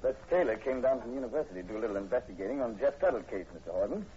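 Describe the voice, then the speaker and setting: An actor playing a plain-spoken state police sergeant, halting and matter-of-fact, in 1940s radio horror style. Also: male voice, medium pitch, expressive, mellow, lightly acted. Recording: dull, noisy old radio broadcast recording.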